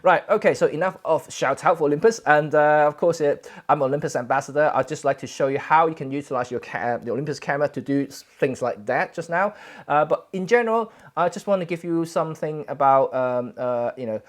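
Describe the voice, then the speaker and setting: A man from Hong Kong, a Cantonese native speaker, hosting a casual photography livestream from home, talking in a halting way with many fillers and self-corrections. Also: a little high. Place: home, indoors. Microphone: camera setup for streaming.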